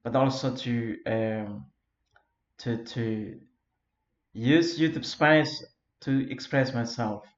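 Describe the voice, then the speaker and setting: An Indonesian-accented man from Flores talks through an informal lecture in English, stopping often on fillers and repeating words as he searches for them. His voice sits at an ordinary pitch. The recording is dull and lo-fi, with a roomy echo. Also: slow. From Flores, eastern Indonesia. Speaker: founder of an English learning community. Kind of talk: informal self-made video lecture. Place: Europe.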